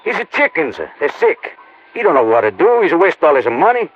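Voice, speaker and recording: An evasive Italian immigrant farmer in a 1950s radio drama, pleading in broken English. His fairly high voice leaps around with excitable protest. The old recording is muffled. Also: a little fast. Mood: defensive, explaining. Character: nervous, evasive immigrant farm neighbor, protesting innocence.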